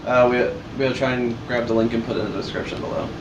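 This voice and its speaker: A man's voice: medium pitch, fairly even intonation, fast, highs cut off. A man chatting casually as a reaction host, explaining and pointing things out as he goes. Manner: explanatory, pointing out on-screen details. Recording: echoey room with loud background noise.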